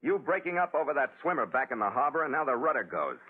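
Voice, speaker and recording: A man's voice: medium pitch, sing-song, fast, slightly rough. An American man playing a hard-boiled, brusque sea captain in a 1940s radio adventure, terse in speech and storytelling. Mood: suspicious. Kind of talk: 1940s radio drama narration and acting. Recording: tinny old radio recording.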